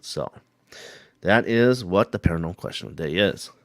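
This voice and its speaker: A man hosting a paranormal live stream, hoarse from a cold, rambling casually and greeting viewers by name. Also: low voice, expressive, normal speed, no room sound, studio quality.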